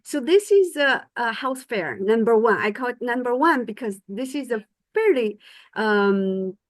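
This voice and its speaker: Middle-aged woman, native Chinese, presenting to faculty; slow and halting, slightly higher voice. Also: animated, no room sound. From China. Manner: hesitant, many fillers and restarts. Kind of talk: conference presentation.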